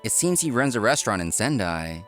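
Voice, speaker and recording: Man acting earnest restaurateur, animated storytelling over music. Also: lowish voice, a little fast. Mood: earnest, reflective. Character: earnest, work-obsessed young male restaurant owner, easily flustered. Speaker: narrator and lead character. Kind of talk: scripted manga story narration and dialogue. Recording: music behind the voice.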